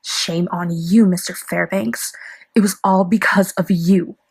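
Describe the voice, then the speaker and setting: Woman acting a husky-voiced sardonic woman. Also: lowish voice, fairly even intonation, measured pace, exaggerated voice. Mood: reproachful. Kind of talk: amateur radio drama acting.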